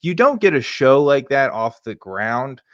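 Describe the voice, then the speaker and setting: A man's voice: medium pitch, big pitch swings, a little slow, fairly bright tone, dry recording. A man giving casual, informal commentary as a co-host, hedging often and peppering his talk with "like" and "right?". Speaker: show co-host. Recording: dull, band-limited dry audio.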